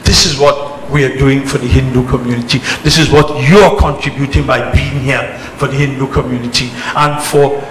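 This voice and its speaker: A man from Trinidad who spent his early life in England, giving an emphatic, deliberate public speech to a community gathering in short, repeated phrases. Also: mid-range voice, steady tone. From born in Trinidad, spent early life in England. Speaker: religious organisation leader giving a speech. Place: temple celebration, amplified to an audience. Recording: echoey hall PA, noisy background.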